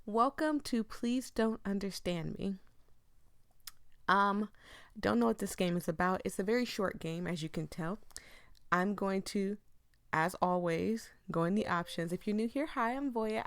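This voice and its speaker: A woman's voice: mid-range voice, moderate pace, smooth, no echo, studio quality. A woman hosting a casual let's play, reading game text aloud and chatting apologetically to viewers as she breaks off her own sentences. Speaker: gaming video host.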